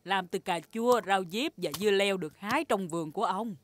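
A woman's voice: medium pitch, fairly quick, background noise, lightly acted. An actress playing a loving old grandmother pig in a children's cartoon dub, affectionate and encouraging. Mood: cheerful, affectionate. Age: older. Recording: music behind the voice.

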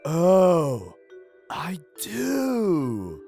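Cartoon parody role: man as dopey hippie gym leader, very slow drawl, swinging high for a man, music underneath. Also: wildly swooping pitch, gravelly, warm tone, heavily acted. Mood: dazed, amused. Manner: dazed, drawling, easily distracted. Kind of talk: comedic cartoon parody dub.

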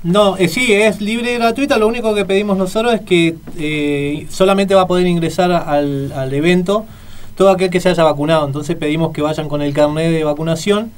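A man's voice: high voice, fairly even intonation, fairly quick, far from the mic. A man from the municipality explaining event plans in a radio interview, talking at length with many restarts.

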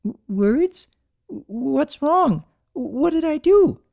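A meek young female pegasus voiced by a narrator for a cartoon fan story. She is pitched well above the narration. She sounds kindly but nervous and apologetic, with a halting way of talking. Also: big pitch swings, creaky, a little mellow, heavily acted. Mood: anxious, apologetic. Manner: stammering, apologetic.

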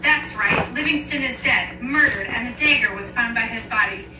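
A woman's voice: fairly high pitch, moderate pace, close to an everyday voice. A woman voicing a stern, blunt female detective who questions and accuses. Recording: roomy and distant, noisy background.

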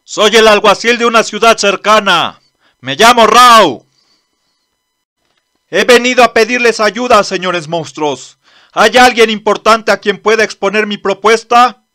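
A man playing a blunt, earnest old lawman in a manga dub, speaking formally and deliberately. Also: high-pitched, bright, forceful tone. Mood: loud, resolute. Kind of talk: dramatic dubbing.

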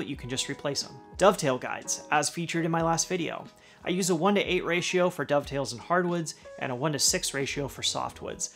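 A middle-aged man with a slightly raised pitch talks through a list of workshop tools. Each item gets an upbeat lift, then his voice settles as he finishes the thought. The light music underneath keeps it feeling like a produced channel segment. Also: gravelly, a bit bright. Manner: upbeat list-style delivery, dropping at the end of each item. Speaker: woodworking channel host. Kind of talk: scripted product rundown. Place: home garage workshop.

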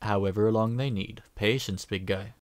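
A woman playing a kind, patient adventurer in a fantasy audio drama, talking in a gentle, casual, reassuring way. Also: extremely low pitch, steady tone, moderate pace, dry recording, studio quality. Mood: warm, reassuring.

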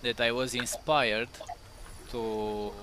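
A man hosting a survival game session in voice chat, non-native English speaker, hesitant with many pauses. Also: mid-range voice, level tone, noisy background. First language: not English. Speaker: channel host and player. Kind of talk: casual gaming voice chat.

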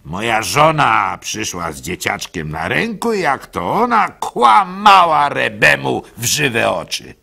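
An actor playing a gullible, soft-hearted village baker who tells his story in a halting, plaintive way for a radio play. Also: medium pitch, big pitch swings, vocal fry, heavily acted. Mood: indignant, recounting. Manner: halting, stammering, plaintive, swinging between hushed and loud. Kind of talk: dramatic first-person storytelling for a radio play.

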